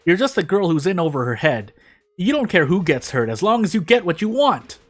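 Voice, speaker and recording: A man's voice: high voice, sing-song, fairly quick, fairly bright tone, pitched above the performer's own voice, exaggerated voice. A man voicing a bitter, wounded young witch girl in a storybook reading, accusing and impassioned. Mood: furious ranting.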